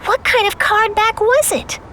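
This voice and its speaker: A woman playing a sharp, inquisitive young female journalist in a video game, with a probing, confident way of talking. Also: very high-pitched, fairly even intonation, a little slow, a little hiss or hum, heavily acted. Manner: probing, crisp questioning.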